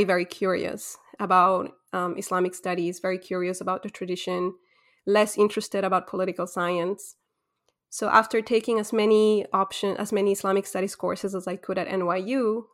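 A woman from Ecuador, a guest scholar, giving reflective step-by-step answers in an interview. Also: medium pitch.

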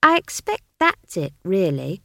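A woman voicing a pompous, genially condescending old male wizard in an audiobook story, with airy, unhurried, patronising speech. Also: on the high side, big pitch swings, no echo, clean studio sound, pitched above the performer's own voice.

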